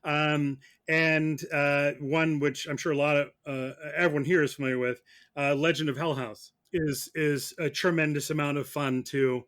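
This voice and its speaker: A man's voice: fairly high pitch, steady tone, moderate pace, a bit bright. A man speaking as a guest on a podcast, in casual conversation. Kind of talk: casual chat.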